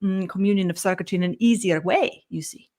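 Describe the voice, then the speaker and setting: A woman voicing a benevolent collective spirit guide who speaks as "we", humming "mm" and trailing off into soft murmured "you see". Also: medium pitch, lightly acted. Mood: serene. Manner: measured, gently instructive. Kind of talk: channeled spiritual session.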